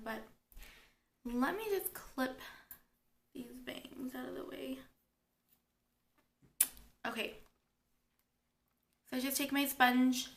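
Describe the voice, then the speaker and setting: A woman's voice: fairly high pitch, fairly bright tone. A young woman with a cold and a sore throat, chatting her way through a makeup tutorial and explaining each step as she works. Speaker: beauty vlogger.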